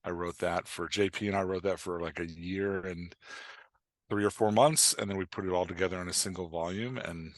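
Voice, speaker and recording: A man with a deep voice chats casually as a podcast guest. He thinks aloud in short, halting phrases, and the sound is dry and close. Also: fairly even intonation, no room sound. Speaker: podcast guest, author and writing coach. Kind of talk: casual interview chat.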